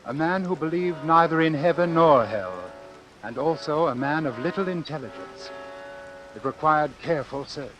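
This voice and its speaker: An actor playing a cold, calculating doctor in a vintage suspense radio drama, speaking in a formal, precise, measured way. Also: male voice, on the high side, music in the background, close to an everyday voice, poor audio. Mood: cold, reflective. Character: cold, calculating male doctor obsessed with his experiment. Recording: hissy old radio recording.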